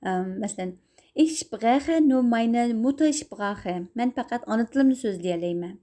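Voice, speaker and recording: A young woman, a native Uyghur speaker, teaching German; she reads each example sentence slowly and a bit louder, then explains it in a calmer, lower voice. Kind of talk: recorded language lesson.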